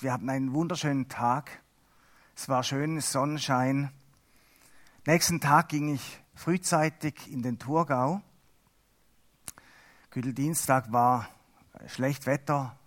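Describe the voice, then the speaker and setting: A middle-aged Swiss man whose first language is Swiss German, giving a chatty, halting lay sermon with self-mocking humor. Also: mid-range voice. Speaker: lay preacher.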